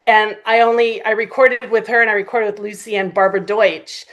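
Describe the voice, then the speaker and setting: A woman's voice: medium pitch, flat delivery, fairly quick, poor audio. A woman guest on a podcast, casually telling stories as a writer and director, animatedly acting out quoted exchanges. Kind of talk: casual anecdotal chat.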